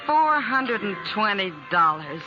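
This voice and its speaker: A woman's voice: medium pitch, very animated, unhurried, music in the background. Spirited saloon woman, muffled old radio. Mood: earnest. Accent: American. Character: warm-hearted, spirited frontier saloon woman. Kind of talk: 1950s radio western acting.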